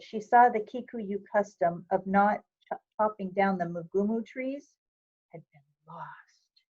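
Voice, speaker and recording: A woman reading a picture book aloud for children, with measured, expressive storytelling phrasing. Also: medium pitch, lo-fi sound. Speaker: volunteer reader of a children's book.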